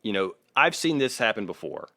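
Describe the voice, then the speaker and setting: Man, lowish pitch, dry recording, podcast co-host, casual, opinionated, reading aloud and commenting. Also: big pitch swings, normal speed, bright, forceful tone, no room sound.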